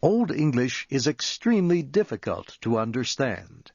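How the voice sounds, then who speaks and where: A man reading a scripted educational narration for English learners in a deliberate, measured, clearly enunciated manner. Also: medium pitch, big pitch swings, darkish tone, dry recording. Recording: muffled lo-fi audio.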